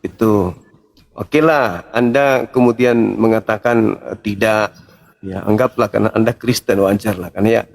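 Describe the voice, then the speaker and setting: A man's voice: lowish voice, a little slow, gravelly. A man debating religion informally, questioning insistently in a confrontational, condescending way.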